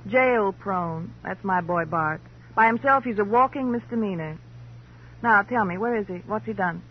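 An actress playing a flippant, jaded rich wife in an old radio crime drama, sardonic, brisk and dismissive. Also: female voice, medium pitch, lightly acted. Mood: sardonic, breezy. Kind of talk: 1940s-50s radio crime drama acting. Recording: dull old radio recording, faint noise.

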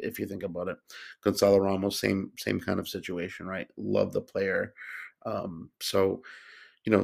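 Man, podcast host, rambling casual talk, gravelly, flat delivery. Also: lowish voice. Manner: rambling, many fillers and restarts.

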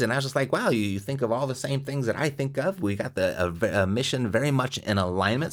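A man hosting an investing podcast, giving motivational advice and repeating key phrases for emphasis. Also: mid-range voice. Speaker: podcast host. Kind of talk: energetic podcast monologue and interview.

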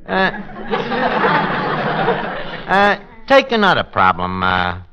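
An actor playing a brash, scheming tavern manager with a New York accent and slangy, mangled grammar in 1940s radio comedy style. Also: male voice, sits high, wildly swooping pitch, drawn-out words, a loud crowd, full character voice. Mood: boastful. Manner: malapropisms, slangy wisecracks. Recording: noisy, muffled old radio recording.

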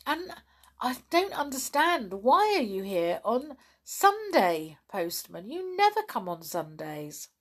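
A woman's voice: fairly high pitch, very animated, slow pace, a bit bright, voice pushed up, exaggerated voice. A woman voicing a small, puzzled male pixie in a children's story, earnest and bewildered.

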